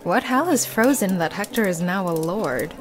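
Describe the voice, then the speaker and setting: A woman's voice: mid-range voice, lively intonation, moderate pace, soft background music, lightly acted. A woman playing a dry, guarded young necromancer in a visual novel, speaking matter-of-factly. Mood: sardonic. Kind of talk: visual novel character acting.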